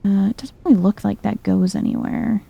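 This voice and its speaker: A soft-spoken woman giving chill, dryly wry ASMR-style commentary while playing a video game. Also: medium pitch, steady tone, fairly quick, creaky, breathy edge.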